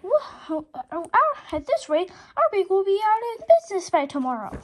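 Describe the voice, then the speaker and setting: A female reader voicing an excitable, bold cartoon alligator detective with halting exclamations. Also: extremely high pitch, a little hiss or hum, pitched above the performer's own voice. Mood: loud, exasperated.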